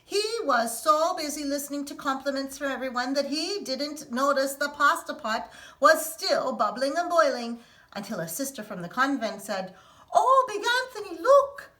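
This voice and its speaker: A woman teacher reading a picture book aloud to children in an expressive storytelling manner. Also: high-pitched, normal speed. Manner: expressive, sing-song storytelling.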